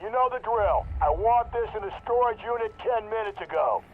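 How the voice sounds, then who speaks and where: High-pitched, barking squad leader. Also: male voice, animated, moderate pace, phone-quality sound. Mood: urgent, impatient. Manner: barked, clipped orders. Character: barking, impatient male military squad leader. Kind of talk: game cutscene acting.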